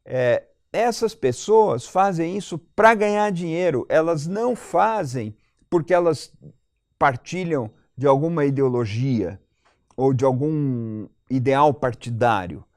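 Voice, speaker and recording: A man's voice: a little high, animated, takes it slowly, darkish tone, no echo. Brazilian man, dry close sound, analytical. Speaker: debate panel guest. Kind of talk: analytical panel debate commentary.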